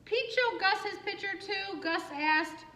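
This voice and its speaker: A woman reading a picture book aloud for children in an expressive, singsong way, with asides and questions to young listeners. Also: very high-pitched, measured pace. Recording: roomy, echoing room sound.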